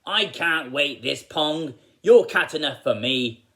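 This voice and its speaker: A man's voice: on the high side, expressive, slow, pushed and bright, full character voice. A man voicing a wicked old woman witch in a children's storybook, greedy and threatening, with a snappish, commanding manner. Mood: impatient, bossy.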